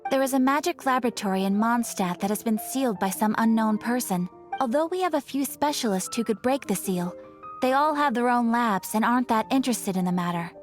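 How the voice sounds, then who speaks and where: A woman playing a calm, formal receptionist. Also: fairly high pitch, a little fast, lightly acted. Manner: polite and formal. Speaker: guild receptionist. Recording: game voice track over soft music.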